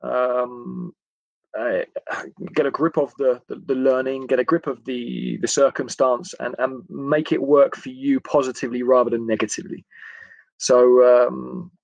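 A man in his forties with an English accent, talking informally on a livestream as a coach in a friendly, chatty, halting way. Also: medium pitch, flat delivery, creaky, a little mellow, no echo, muffled recording. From England. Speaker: football coach giving a livestream talk. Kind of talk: informal live talk to camera. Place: indoors, small attic room.

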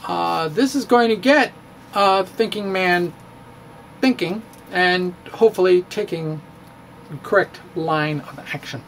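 A middle-aged man giving a dramatic, comedic reading of a physics blog, halting and theatrical with emphatic pauses. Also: sits high, fairly bright tone, slight background noise. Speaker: blogger, stand-up physicist. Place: indoor room with bookshelves. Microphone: handheld-style microphone on stand, close.

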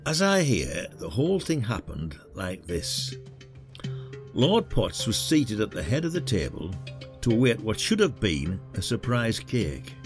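A man acting as a wry, patronising retired detective in an audio drama, with a folksy, teasing way of talking. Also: lowish voice.

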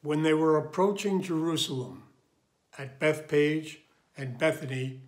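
Slow, higher-voiced older man reading. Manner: measured, deliberate. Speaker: lay scripture reader. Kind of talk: scripture reading. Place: indoor room at home.

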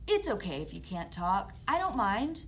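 Woman doing an animated, kind young prince. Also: medium pitch, moderate pace, slight background noise. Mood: friendly, gentle. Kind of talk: children's storybook puppet acting.

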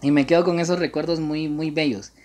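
A man, speaking haltingly and coyly on a casual prank phone call. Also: a little high, steady tone, a little mellow. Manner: hesitant, halting, coy. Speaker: prank caller and channel host. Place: small room indoors.

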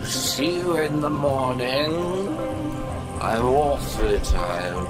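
A man's voice: medium pitch, expressive, slow. A man playing a rude, self-important screen actor with a haughty, sneering manner in an amateur radio play. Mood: haughty, tired. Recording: music playing loudly over the voice.

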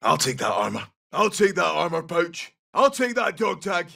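A tough Scottish special forces soldier from a military video game. His voice is husky and crackles with gravel, swinging widely in pitch as he snaps out brisk callouts. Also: very animated, bright, forceful tone, distant mic, heavily acted. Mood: brisk, businesslike. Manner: clipped, brisk, urgent.

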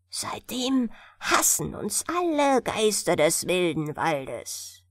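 Woman voicing a plaintive little mandrake man, slow and earnest. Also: a little high, dry recording, clean studio sound, exaggerated voice. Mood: sorrowful, plaintive. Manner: earnest, sorrowful, entreating. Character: small male mandrake sprite, gentle, plaintive, eager. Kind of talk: fairy-tale audiobook character.

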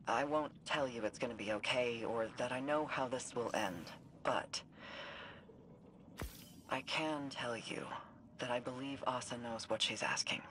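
A woman's voice: a bass voice, a little slow, a bit bright. An actress playing a plainspoken woman soldier in a game cutscene, casual and a little hesitant. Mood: earnest. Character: candid woman soldier, steady and reassuring. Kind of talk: video game cutscene acting. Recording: game audio with noisy ambience.

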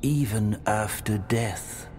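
An ancient dead confessor for a dark fantasy game. He speaks extremely slowly in a low register, grave and weary, lamenting with great ceremony. Ambient noise sits behind the voice. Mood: mournful, solemn. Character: solemn, weary old undead preceptor, mournful confessor. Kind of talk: slow dramatic game monologue.

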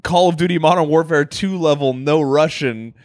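A man's voice: fairly high pitch, normal speed, no echo, clean studio sound. A man co-hosting a comedy podcast, rambling through stories in casual chat and laughing often. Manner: loose rambling storytelling, laughs a lot. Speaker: podcast co-host.

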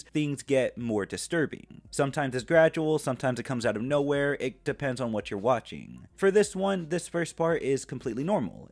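A man in his twenties giving semi-scripted video essay commentary in a conversational, explanatory way, close on a microphone in a small room at home. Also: mid-range voice. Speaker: host of a film fan video channel. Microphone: large microphone on a boom arm, close.

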